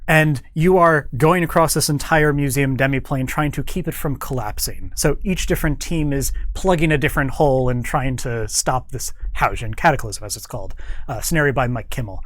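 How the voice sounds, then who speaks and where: A man speaking as a guest in a studio chat, explaining things in an animated way. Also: mid-range voice, a little fast, no echo. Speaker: guest, game organized play developer.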